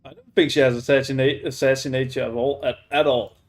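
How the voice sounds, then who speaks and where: A man livestreaming a game and chatting casually, halting and repeating himself. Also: medium pitch, little pitch movement, noisy background. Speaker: game streamer.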